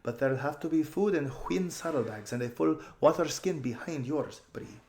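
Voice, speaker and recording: A man's voice: on the high side, moderate pace, gravelly, a little mellow, roomy sound, slight background noise, pitched above the performer's own voice. A man voicing a determined, practical young noble girl in a children's storybook, with a firm, matter-of-fact manner. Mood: brisk, instructing.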